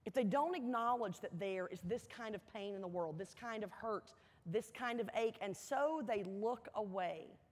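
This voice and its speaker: A woman gives a motivational storytelling speech to an audience, earnest and emphatic, with dramatic pauses and repeated phrases. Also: medium pitch. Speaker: motivational speaker at a university welcome event. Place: large indoor hall, addressing an audience. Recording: echoey large hall with long reverb.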